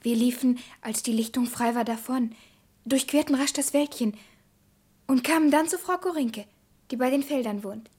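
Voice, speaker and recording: High-voiced, eager child. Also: female voice, normal speed, darkish tone, slightly rough. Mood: narrating. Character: child narrator, curious, eager, plain-spoken. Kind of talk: storytelling in a poetic radio drama.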